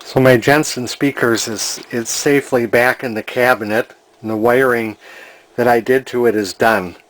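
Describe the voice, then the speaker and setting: A man's voice: mid-range voice, fairly even intonation, moderate pace, fairly bright tone, low-quality recording. A man casually talking through an antique radio repair in his workshop, plain and hesitant with frequent fillers. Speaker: radio restorer. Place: home workshop.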